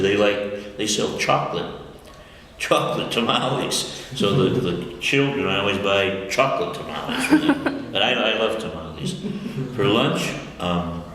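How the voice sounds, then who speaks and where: Deep gravelly older man, echoey room. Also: fairly bright tone. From United States, Michigan. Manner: rambling, self-correcting, chuckles often. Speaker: interviewee. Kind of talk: casual conversational interview. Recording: echoey room with long reverb and faint noise.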